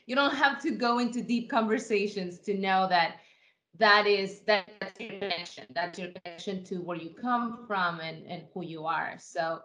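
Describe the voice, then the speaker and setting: A woman's voice: mid-range voice, fairly bright tone. A woman from Ecuador, a guest in an interview, answering in an earnest, reflective way with frequent restarts.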